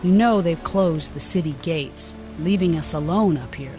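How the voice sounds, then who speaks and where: A woman's voice: low voice, normal speed, music in the background, lightly acted. A woman playing a concerned, motherly villager in a medieval audio drama. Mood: worried.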